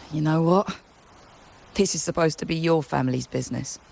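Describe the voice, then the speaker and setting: A woman's voice: low voice, measured pace, gravelly, darkish tone. An actress voicing a blunt, skeptical young woman trader in a video game, talking straight and a little weary.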